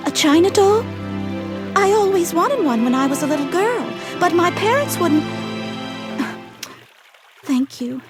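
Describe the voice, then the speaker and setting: An actress playing a prim, earnest young woman in an animated game, with careful articulation. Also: extremely high pitch, normal speed, over music. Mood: softened, touched. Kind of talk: animated game cutscene acting.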